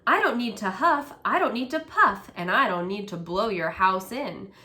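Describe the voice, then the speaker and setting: Storybook villain: cunning, hungry wolf, played by a woman, gloating and growling, bright and forceful. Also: medium pitch, expressive, moderate pace. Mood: menacing, taunting. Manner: gloating, sneering, growling delivery. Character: cunning, boastful, hungry big bad wolf. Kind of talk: children's storybook character voice.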